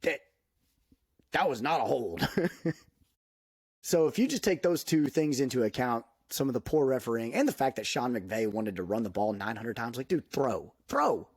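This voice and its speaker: A man giving his opinions in a casual podcast chat, animated, laughing often and saying "dude" a lot. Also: mid-range voice, moderate pace, breathy edge, dry recording, studio quality. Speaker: podcast guest.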